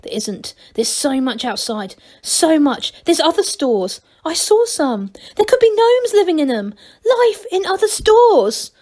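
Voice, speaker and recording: A woman voicing an excitable, proud young male gnome in a storybook, eager and full of urgent enthusiasm. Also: very high-pitched, unhurried, voice pushed up, full character voice. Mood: urgent, excited.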